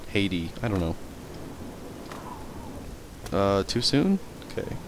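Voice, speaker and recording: A man's voice: lowish voice, big pitch swings, slow pace, background noise. A man doing casual let's play commentary, rambling, swearing casually and stumbling over hard words as he reads. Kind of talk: casual gameplay commentary and reading in-game text aloud.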